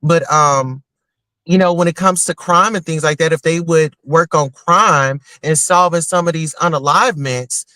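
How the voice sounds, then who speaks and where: A man's voice: a little high, normal speed, pushed and bright, dry recording. A man calling in with his opinions, earnest and hesitant, with many fillers. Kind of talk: casual opinion chat.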